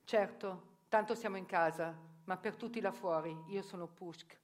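A woman voicing a proud, tough sixty-six-year-old woman who lives as a man, answering firmly in a raspy voice. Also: medium pitch, normal speed, close to an everyday voice. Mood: matter-of-fact. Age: 60s. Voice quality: raspy, like a heavy smoker. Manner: firm, proud, emphatic answers.

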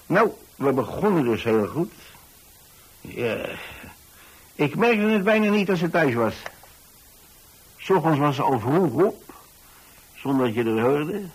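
Kindly, chatty older landlord, slightly husky. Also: male voice, fairly high pitch, sing-song, unhurried, pauses often, full character voice, poor audio. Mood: chatty, fond. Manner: hesitant, folksy, chatty, with small asides. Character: kindly retired landlord telling about his young lodger. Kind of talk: conversational radio drama monologue in Dutch.

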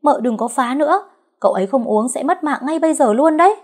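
A sharp-tongued young woman who shrieks and wails to get her way, played by a female storyteller. Her voice sits high and loud, strained with complaint. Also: animated, quick pace. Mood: shrieking. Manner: shrill, wailing. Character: young scheming concubine, whiny and sharp.

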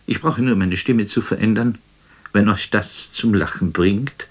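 A weary, ironic theatre actor reciting a radio monologue. The voice is breathy and rough-edged, fairly low, and moves very slowly, halting for effect and often falling to a whisper. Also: male voice. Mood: scornful, grandiose. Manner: declamatory, halting, bitterly ironic. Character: world-weary, self-mocking male stage actor delivering a mad monologue.

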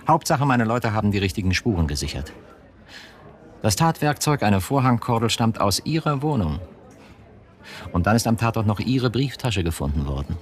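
An actor playing a manipulative, domineering police chief in a German radio drama, speaking in an insistent, measured and cutting way. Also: male voice, on the low side, big pitch swings. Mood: cold, insistent. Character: cold, coercive male police chief, manipulative.

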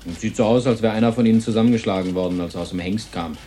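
A man's voice: fairly low pitch, rapid-fire, mellow, slight background noise, close to an everyday voice. A man playing an ordinary, matter-of-fact police colleague in a radio play.

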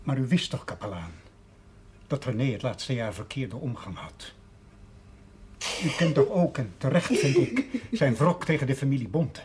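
An actor playing a dignified grieving father, formal and measured, quietly reproachful, in a television period drama. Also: mid-range voice, close to an everyday voice. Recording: faint background hiss, 1970s television soundtrack.

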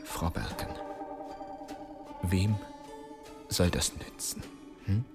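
A man's voice: low-pitched, animated, very slow, music in the background, heavily acted. Stern, officious policeman. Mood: controlled, urgent. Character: stern, insistent male police doctor confronting a killer. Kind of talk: radio crime drama acting.

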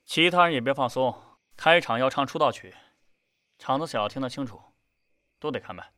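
A man playing a no-nonsense idol group manager, brisk and dryly scolding as he hands out instructions. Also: medium pitch, lively intonation, bright, forceful tone, slight background noise. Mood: blunt, businesslike.

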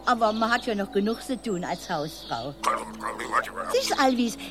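A woman's voice: on the high side, very animated, normal speed, loud music behind the voice. Chatty housewife in her sixties. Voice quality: chatty, dialect-heavy. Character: chatty older housewife, homesick. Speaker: radio drama character. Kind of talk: radio play acting.

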